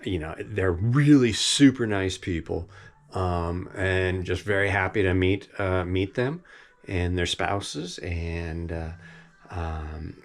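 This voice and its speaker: A man with a deep voice gives a casual, rambling update from his forested property, full of fillers and restarts. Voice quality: deep voice that carries. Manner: rambling, many fillers and restarts, chuckles often. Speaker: vlogger giving a property update. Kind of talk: casual rambling talk to camera. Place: home property in the Pacific Northwest forest.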